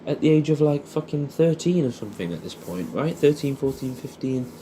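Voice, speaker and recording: An English man talks casually, as if to an old mate, in a mellow, middle-pitched voice. He rambles and swears freely while reminiscing. There is faint noise behind him. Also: lively intonation, fairly quick, rough recording. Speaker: audio storyteller addressing an old friend. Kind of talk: casual intimate monologue, reminiscing.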